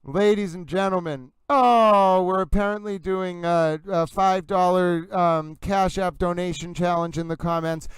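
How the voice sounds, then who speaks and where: A man hosts a casual livestream, talking to viewers in a theatrical, gushing singsong that sounds a little breathless. His voice sits high and comes across very smooth and resonant. The sound is close and dry, with no room around it. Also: fairly even intonation, normal speed, few pauses, no room sound, rough recording. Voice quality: slightly breathless. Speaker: livestream host.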